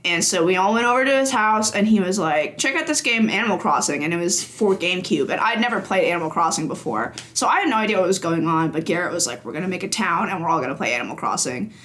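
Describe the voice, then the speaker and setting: A woman's voice: lowish voice, expressive, fast, hardly any pauses, fairly bright tone. Laughing young woman telling stories. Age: young adult. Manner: chatty storyteller, laughs easily, many ums. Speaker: games journalist guest. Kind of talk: casual podcast interview.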